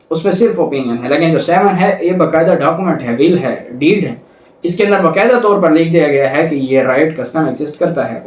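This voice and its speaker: A man with a Pakistani accent giving a methodical, repetitive explanatory lecture, reading clauses aloud and explaining them step by step. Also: on the high side. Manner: methodical, repetitive step-by-step explaining, switching between Urdu and English. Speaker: law lecturer. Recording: echoey room, distant microphone.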